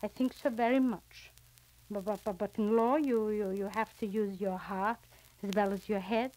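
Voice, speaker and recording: A woman's voice: mid-range voice, fairly even intonation, normal speed, mellow, close to an everyday voice. An actress playing an anxious, earnest middle-aged mother in a 1940s radio serial, hesitating and repeating her phrases. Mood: earnest pleading. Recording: crackly 1940s radio transcription disc.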